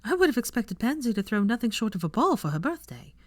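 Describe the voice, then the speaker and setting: A woman voicing a prim, quick-witted young witch who banters in pointed questions, in a story reading. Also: on the high side, very animated, dry recording, voice pushed up. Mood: curious, earnest.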